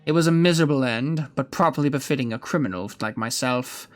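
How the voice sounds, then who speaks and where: A man voicing an aged gentleman villain from a game story, gleefully wicked and sentimental, in a pompous theatrical manner. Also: mid-range voice, fast talker, faint music behind, natural voice. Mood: musing, theatrical. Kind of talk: dramatic reading of game story dialogue.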